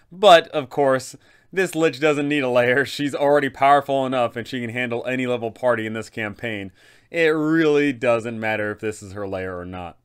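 A man's voice: mid-range voice, smooth. A young man, presenting tabletop game advice in an emphatic, explanatory way. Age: young adult. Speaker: host, game master advisor. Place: small furnished room indoors.

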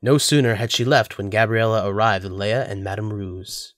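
A man's voice: lowish voice, steady tone, normal speed, fairly bright tone, no echo, clean studio sound. A man narrating a scripted audio drama as its storyteller.

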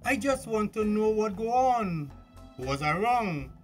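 An actor with a Tobagonian accent playing a pompous, badgering police officer interrogating someone in a radio drama. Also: male voice, high-pitched, big pitch swings, slow, faint music behind, full character voice. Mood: flustered.

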